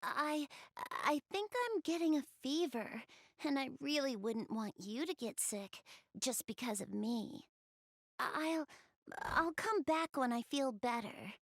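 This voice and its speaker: A woman voicing a shy, easily flustered young goddess in an anime video game, speaking softly and hesitantly to the player. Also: high voice, animated, no echo, studio quality, exaggerated voice. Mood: flustered, unwell. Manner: hesitant, bashful, halting.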